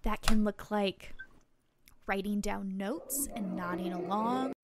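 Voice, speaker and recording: A woman's voice: mid-range voice, slow, noisy background. A woman playing a chatty, helpful small-town reporter, friendly and explanatory, in a tabletop roleplaying game. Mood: earnest, instructive. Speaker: game master.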